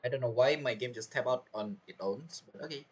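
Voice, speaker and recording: A game streamer with a husky voice giving casual let's-play commentary. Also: mid-range voice, quick pace.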